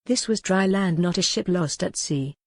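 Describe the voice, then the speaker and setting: A woman's voice: fairly low pitch, normal speed, darkish tone, no room sound, studio quality. A woman reading a historical novel as scripted, dramatic audiobook narration.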